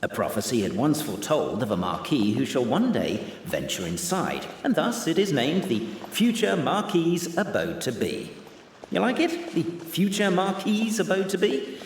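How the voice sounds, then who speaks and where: An actor playing a theatrical, self-satisfied storyteller, narrating a mock-epic game tale pompously with hesitant asides. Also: male voice, on the high side, wildly swooping pitch, normal speed, a little hiss or hum. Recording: echoey hall reverb.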